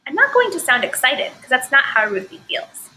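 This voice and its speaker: A woman teaching a recorded lesson to first graders in clear, encouraging, expressive speech. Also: sits high, normal speed, a little hiss or hum, low-quality recording.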